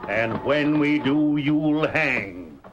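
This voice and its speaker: Proud old cowboy, muffled radio recording. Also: male voice, on the high side, expressive, drawn-out words. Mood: indignant. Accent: American Western drawl. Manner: folksy, indignant, plain-spoken. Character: proud, honest, stubborn old cattle rancher. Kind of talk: old-time radio Western acting. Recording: dull, noisy vintage radio recording.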